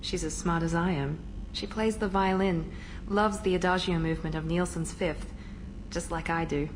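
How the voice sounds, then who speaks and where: An actress voicing a composed adult female operative who talks in a measured, reserved way, in a game cutscene. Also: on the low side, fairly even intonation, close to an everyday voice, low-quality recording. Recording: game audio with ambient noise.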